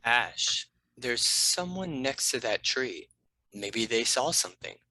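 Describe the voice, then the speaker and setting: A man voicing a wry, mischievous talking cat with a grudging delivery in an animated comedy table read. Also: mid-range voice, takes it slowly, full character voice, poor audio. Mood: alert.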